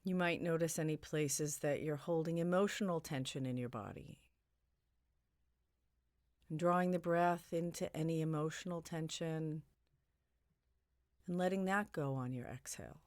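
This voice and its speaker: A woman leading a guided meditation in a soothing, gently suggestive way, her phrases broken by long pauses. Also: deep voice, no echo, clean studio sound.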